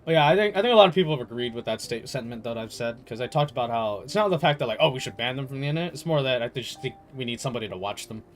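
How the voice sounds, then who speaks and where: A man's voice: mid-range voice, expressive, very fast, few pauses, soft background music. A young adult man streaming a game and chatting casually, rambling and emphatic, swearing freely. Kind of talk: casual live stream chat.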